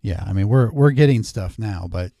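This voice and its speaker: A man hosting a casual screen-recorded software tutorial, explaining each step informally. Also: lowish voice, moderate pace, gravelly, dry recording. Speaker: tutorial host. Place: indoors at a desk.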